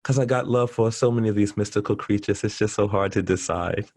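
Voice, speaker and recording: A middle-aged man chatting casually as an interview guest, talking in an animated, effusive and rambling way. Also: fairly low pitch, moderate pace, no room sound, studio quality. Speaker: interview guest, artist and teacher.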